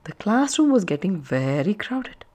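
A woman narrating a children's story aloud, with expressive, emphatic phrasing for young listeners. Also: medium pitch, a little slow.

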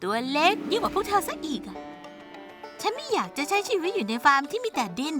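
Young cartoon pony girl, very high voice, animated swoops, excitable, emphatic, music behind. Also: fast talker. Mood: nostalgic. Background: background music.

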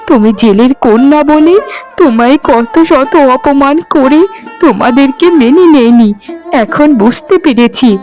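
A woman's voice: high voice, a little mellow, poor audio. A woman voicing an elderly, wronged village mother in a cartoon drama, with a plaintive, affectionate way of pleading. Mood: repentant, tender. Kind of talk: Bengali cartoon drama dialogue. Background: plucked-string music.

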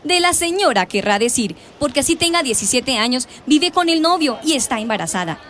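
High-pitched, indignant, bossy Colombian woman. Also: very animated, a little fast, fairly bright tone. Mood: scornful. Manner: confrontational, scornful. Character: stern, indignant, bossy parents' association president. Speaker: radio drama actress. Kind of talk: radio drama acting.

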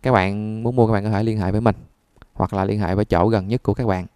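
Male instructor, lowish pitch, dry close sound, conversational step-by-step delivery. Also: steady tone, quick pace, warm tone, clear, resonant tone, no echo. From Vietnam. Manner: conversational, checks often with listeners. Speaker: phone repair instructor. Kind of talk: step-by-step screen tutorial.

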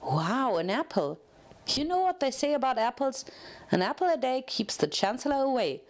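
A woman voicing a manic, bubbly young pink pony mare in a storybook reading, chirpy, excitable and chattering. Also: high-pitched, normal speed, voice pushed up. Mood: cheerful.

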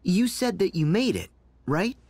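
Teen hero boy, earnest, slowish, very expressive, video game style, dry. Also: high-pitched, no echo, exaggerated voice. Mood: curious. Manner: sincere, emphatic.

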